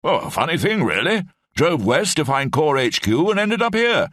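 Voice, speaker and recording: A man's voice: a little high, very animated, normal speed, a bit bright, dry recording, clean studio sound, voice pushed up. A man voicing an elderly, bumbling brigadier with clipped, rambling upper-class speech.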